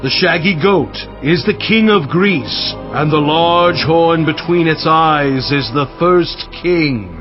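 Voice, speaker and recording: An actor playing a commanding angel messenger, declaiming solemnly in a dramatized scripture reading. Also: male voice, fairly high pitch. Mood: solemn, foreboding. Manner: slow, declamatory, portentous. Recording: dull audio under background music.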